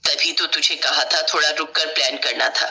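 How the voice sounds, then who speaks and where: A woman's voice: sits low, fairly even intonation, moderate pace, pushed and bright, voice pushed down, heavily acted. A woman voicing a superstitious older mother who complains bluntly in a family story. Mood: grumbling refusal. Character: grumbling, superstitious older mother with bad knees.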